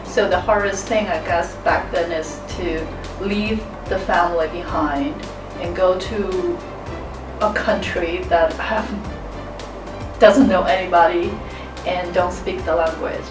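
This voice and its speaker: A woman's voice: fairly low pitch, fairly even intonation, takes it slowly. Woman, roomy distant sound. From China. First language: Chinese. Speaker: interviewee. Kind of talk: personal story interview. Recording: roomy, distant mic, music underneath. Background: music.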